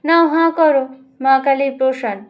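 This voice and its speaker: A high-pitched, sharp-tongued matriarch of middle years, acted by a woman reader. She is sly and domineering, teasing with mock-innocent cries in an audio storybook. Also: fairly even intonation, moderate pace, muffled recording, natural voice. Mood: taunting. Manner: taunting, mock-innocent exclamations.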